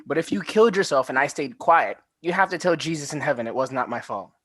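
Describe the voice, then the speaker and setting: A man's voice: fairly high pitch, fast talker, a bit bright, no room sound, clean studio sound. A man playing an earnest, oblivious sixteen-year-old who chats in casual bro slang in a comedy table read. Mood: earnest, defensive.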